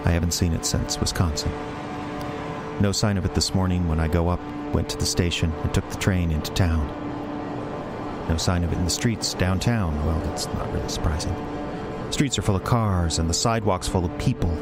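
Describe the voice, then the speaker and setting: An actor playing a dazed office man in a radio play monologue, speaking in halting, deliberate short phrases. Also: sits low, fairly even intonation, loud music behind the voice, lightly acted. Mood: dazed, fixated. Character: dazed office man haunted by a moose, slow and hushed.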